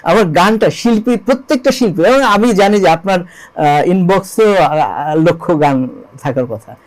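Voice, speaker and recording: A man's voice: high-pitched, lively intonation, unhurried, low-quality recording. A man with a Bangladeshi accent speaking as an interview guest, telling his experiences earnestly with emphatic repetition, rhetorical questions and English loanwords. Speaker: interview guest, songwriter and composer.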